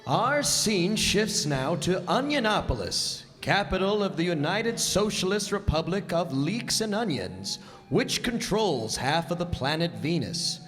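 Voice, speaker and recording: A man plays a theatrical radio-play narrator reading scripted scene-setting. The voice is high for a man and carries hall reverb, with faint music behind it. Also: fairly bright tone, full character voice. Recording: reverberant hall stage recording.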